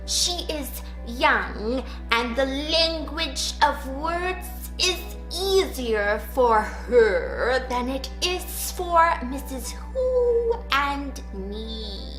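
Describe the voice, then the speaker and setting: Grave ancient witch, acted by a woman, halting and very slow delivery, storybook character, roomy sound over music. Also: high voice, sing-song, fairly bright tone, full character voice. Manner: drawn-out, halting words.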